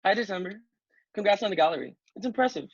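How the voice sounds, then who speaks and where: Animated, husky, flustered young man, acted by a man. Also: high-pitched, quick pace. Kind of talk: comedic script read on a video call. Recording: dull lo-fi video-call audio.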